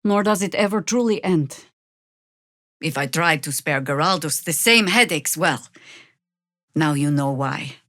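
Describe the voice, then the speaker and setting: A weary older-ish warrior druid lady for a video game, lowish voice, super slow, dry sarcastic wit. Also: animated, a bit bright, no echo. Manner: dry, sardonic, measured. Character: seasoned, wry, world-weary female Harper warrior druid. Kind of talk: dramatic video game character dialogue.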